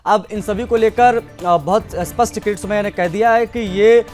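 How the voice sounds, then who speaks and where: High-pitched male news anchor. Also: normal speed, faint music behind. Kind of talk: news presenting and questioning a correspondent.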